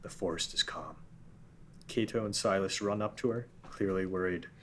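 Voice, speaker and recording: Deep-voiced man reading stage directions. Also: fairly even intonation, normal speed. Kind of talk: table read narration.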